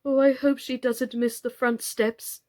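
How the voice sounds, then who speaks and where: A woman's voice: high-pitched, little pitch movement, a little slow, a little mellow, voice pushed up. Woman as an anxious, earnest father doll. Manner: fretful, earnest.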